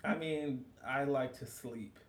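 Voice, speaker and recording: A man's voice: medium pitch, slow pace, roomy sound, background noise. A man in casual conversation, playful and laughing often as he riffs on everyday topics. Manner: playful, laughing, animated storytelling. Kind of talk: casual chat.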